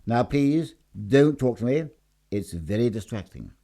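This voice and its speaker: A man's voice: medium pitch, lively intonation, a little slow, creaky, dark tone. A man voicing a self-important, jealous husband who works as a stage escapologist in a story, pompous and exclamatory. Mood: pompous, strained.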